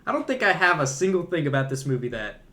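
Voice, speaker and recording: Bright-voiced man chatting on a podcast. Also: a little high, big pitch swings, quick pace, a little hiss or hum. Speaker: podcast co-host. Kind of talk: casual movie discussion.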